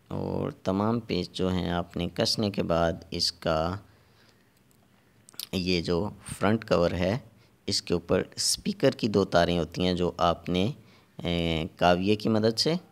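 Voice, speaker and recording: A man narrating a hands-on repair tutorial step by step, halting and repeating himself as he works. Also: sits low. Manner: halting and repetitive, pausing while working. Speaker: repair technician giving a tutorial.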